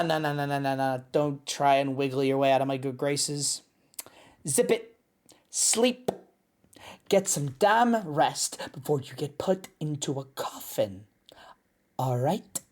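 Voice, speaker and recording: A woman voicing a cocky, gruff but motherly lycan mercenary in an audio drama, with a teasing, sardonic drawl. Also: sits low, expressive, slow pace, pauses often. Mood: bossy, teasing. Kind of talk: scripted audio roleplay drama.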